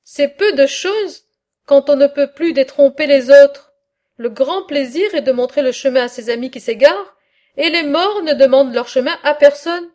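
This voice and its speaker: A woman reading aloud the part of a sober, reserved male scholar in a philosophical dialogue, in a measured, earnest way. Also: high-pitched, darkish tone, natural voice.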